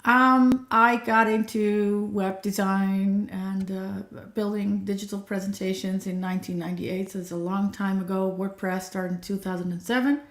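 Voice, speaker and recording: A woman's voice: medium pitch, normal speed, hardly any pauses, reverberant. A woman, an accessibility coach, chatting energetically as a livestream guest over a video call. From Netherlands. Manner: chatty, energetic, self-described nonstop talker.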